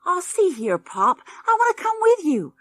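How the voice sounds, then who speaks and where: A woman's voice: very high-pitched, sing-song, normal speed, a bit bright, pitched above the performer's own voice, exaggerated voice. A woman voicing a brash, demanding eleven-year-old American boy in a storybook, with an American accent and a rude, whining manner. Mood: pleading, whiny.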